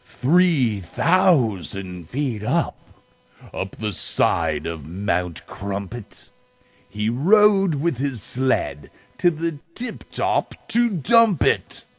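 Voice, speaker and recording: Slow, very animated man reading a storybook. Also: medium pitch, dry recording. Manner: theatrical, drawn-out phrases with big swells. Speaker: storybook reader. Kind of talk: dramatic children's storybook reading.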